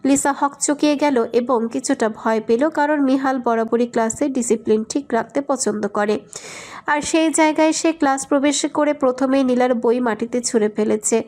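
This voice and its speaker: A woman reads a romantic story as its narrator. Her voice is on the high side, and she delivers scripted prose. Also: normal speed, darkish tone.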